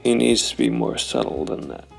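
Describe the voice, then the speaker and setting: Creaky-voiced man narrating a game. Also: very deep voice, big pitch swings, normal speed, darkish tone, faint music behind. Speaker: solo role-player and narrator of a noir tabletop game. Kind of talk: thinking aloud, narrating game play.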